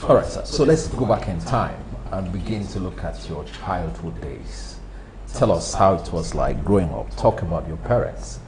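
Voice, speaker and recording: A man's voice: deep voice, animated, normal speed, few pauses, noisy background. A man interviewing a guest, asking probing, conversational questions.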